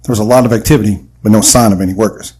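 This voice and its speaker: A man's voice: on the low side, fairly even intonation, racing, darkish tone, husky. An actor playing a wry, cocky young-hearted marksman in an audio adventure drama, casual in delivery. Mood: narrating.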